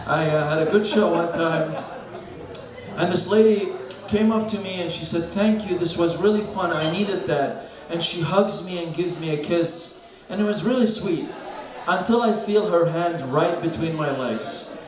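A young man doing a deadpan stand-up set with dark jokes on a bar stage, talking into a handheld mic. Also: a little high, measured pace. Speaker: stand-up comedian. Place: small bar stage with a live crowd. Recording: echoey room with loud crowd.

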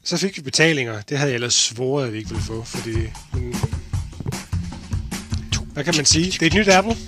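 A man's voice: mid-range voice, animated, a little fast. A man giving casual, wry commentary over a live broadcast. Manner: chatty, wry asides. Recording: loud music and crowd behind.

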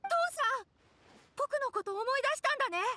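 A woman's voice: very high-pitched, big pitch swings, fairly quick, background noise. A woman voicing an earnest, devoted little boy in an anime game, eager and reassuring. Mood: relieved.

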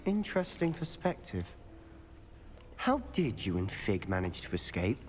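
A teenage wizard schoolboy for a video game. His pitch moves around freely as he teases and keeps secrets, curious and confiding. There is faint noise behind him. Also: very animated, unhurried. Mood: coy, curious. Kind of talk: video game cutscene acting.

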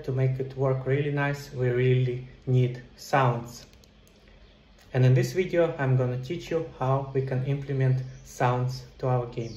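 A man's voice: medium pitch, a little mellow. A middle-aged man giving a casual step-by-step tutorial, explaining things directly to viewers as a game developer. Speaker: video tutorial presenter, indie game developer. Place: indoor room. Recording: roomy echo, some background noise.

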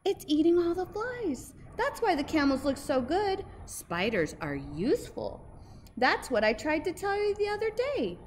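Earnest zoo underling acted by a woman, eager, excitable, high-pitched, storybook dialogue, faint background noise. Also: unhurried. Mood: excited.

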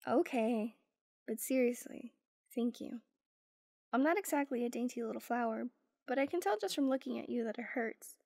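Female actor for affectionate, sarcastic young girlfriend in ASMR roleplay; lightly high pitch, playful teasing, dry close recording. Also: creaky, darkish tone, no echo, heavily acted. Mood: soft, sincere.

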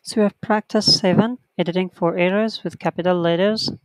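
A writing teacher giving an online lesson, reading textbook sentences aloud slowly and counting the corrections one by one. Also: mid-range voice, steady tone, dry recording, low-quality recording.

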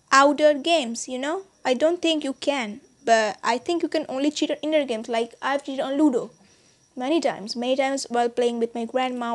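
A teenage girl from India with an Indian accent, chatting casually to her subscribers, rambling with many restarts and fillers. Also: sits high. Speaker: YouTuber. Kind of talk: casual vlog chat. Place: small bedroom, indoors.

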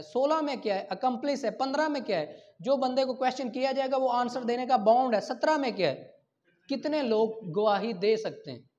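A man's voice: sits high, a little mellow. Pakistani guy lecturing a class, teacherly, asks then answers his own questions, dull lofi sound. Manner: didactic, asks questions and answers them himself. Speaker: law teacher. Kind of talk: classroom lecture for exam preparation. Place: small indoor classroom at a whiteboard.

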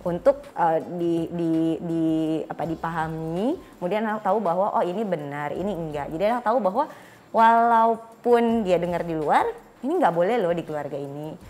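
A woman's voice: fairly low pitch, moderate pace, darkish tone. A woman in her thirties with an Indonesian accent, explaining as a guest expert in informal talk and acting out short dialogues. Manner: acts out short parent and child dialogues. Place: small furnished studio set, indoors. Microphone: clip-on lapel mic.

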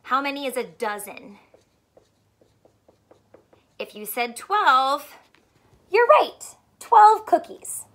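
A young woman teacher reading aloud to small children and asking them simple questions in an animated way. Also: high voice, takes it slowly, pauses often. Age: young adult. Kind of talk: children's read-aloud with a math lesson. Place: small furnished room indoors.

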